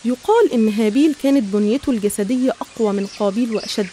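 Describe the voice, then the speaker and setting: Woman narrator, Egyptian accent, warm mellow tone, fast pace, scripted religious storytelling. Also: fairly high pitch, a little hiss or hum.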